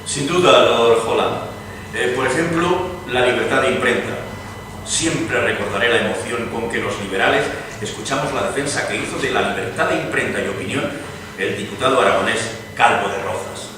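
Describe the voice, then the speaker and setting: A man playing an earnest liberal gentleman from Spain who recounts his country's history in a declamatory, deliberate radio-play style, with a Spain accent. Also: medium pitch, noisy background, natural voice. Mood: earnest, emphatic. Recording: echoey hall from far off, music behind.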